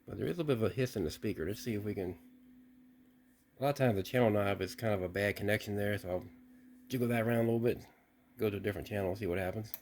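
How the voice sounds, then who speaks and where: A man with a lowish voice walks through an old radio he bought, speaking plainly and thinking aloud. He stops and restarts mid-sentence now and then. A faint hiss sits under the indoor recording. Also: fast, darkish tone. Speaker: radio hobbyist testing gear. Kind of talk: casual unboxing walkthrough.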